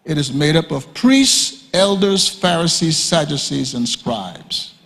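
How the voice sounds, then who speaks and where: A man teaching a sermon at a conference, emphatic and repetitive, often leaping high to act out quoted speakers. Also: expressive, takes it slowly, vocal fry, fairly bright tone. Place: church hall. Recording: reverberant hall.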